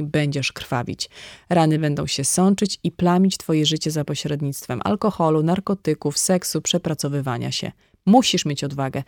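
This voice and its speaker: A woman's voice: low-pitched, a little mellow, no echo, studio quality. A woman reading a scripted audiobook dialogue as a narrator. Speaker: audiobook reader.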